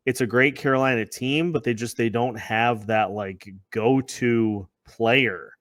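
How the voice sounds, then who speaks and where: Guy hosting a sports podcast, medium pitch, chatty, circles back on his words, really dry sound. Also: measured pace, fairly bright tone, dry recording. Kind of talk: conversational sports discussion with scripted-sounding intro.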